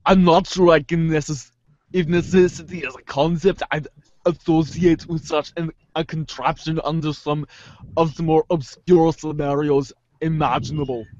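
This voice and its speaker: A man reads as a high-pitched, analytical teen girl in amateur webcomic theater. She is sardonic and pompously wordy. The sound is dry and dull, like a lo-fi call. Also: steady tone, normal speed, darkish tone, no room sound, natural voice. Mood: wry. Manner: pompous, elaborate wording.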